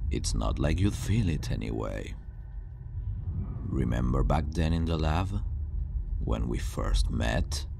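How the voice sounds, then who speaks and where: A man playing a calm, self-assured superhuman villain scientist who disdains humanity, speaking in a hushed, grandiose, deliberate way in an ASMR roleplay. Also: extremely low pitch, creaky, background noise, exaggerated voice. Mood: self-assured, boastful. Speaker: ASMR voice actor.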